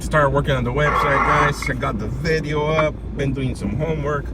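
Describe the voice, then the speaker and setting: A man's voice: on the high side, steady tone, moderate pace. A man hosting a casual live stream, chatting off the cuff to his viewers as he goes. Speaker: livestream host covering police scanner calls. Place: in or around a vehicle while out driving. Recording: distant mic, loud vehicle noise. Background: loud vehicle and outdoor ambience.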